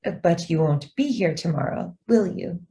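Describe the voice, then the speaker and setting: A woman acts out a young female stenographer in a radio drama. Her voice sits a little low and mellow. She is deferential and measured, yet evasive and quietly persistent. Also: animated, vocal fry. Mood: polite, insistent. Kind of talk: stage-style radio drama acting.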